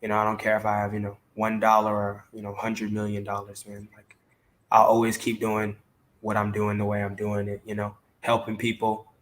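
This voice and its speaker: A man from Maryland answers casually as an interview guest. His voice sits fairly low and stays very even in pitch, with colloquial, filler-heavy phrasing. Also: fairly quick. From Maryland, DC area, United States. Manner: colloquial, peppered with "you know". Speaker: interview guest, talent manager.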